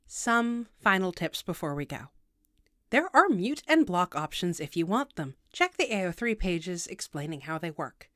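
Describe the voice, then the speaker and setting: A woman's voice: on the low side, sing-song, normal speed, fairly bright tone, no room sound. Woman narrating a tutorial, dry close sound. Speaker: video tutorial host. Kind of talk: scripted explainer narration.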